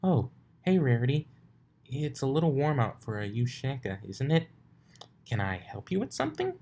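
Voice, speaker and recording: A man's voice: mid-range voice, sing-song, moderate pace, dark tone, pitched above the performer's own voice. A man voicing a helpful, bookish female unicorn scholar with an earnest, hesitant manner in a story reading. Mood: friendly, puzzled.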